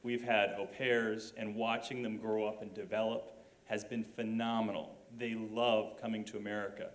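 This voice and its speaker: A man from the audience posing a formal, measured question to a panel. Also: lowish voice, level tone. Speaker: audience member asking a question. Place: auditorium.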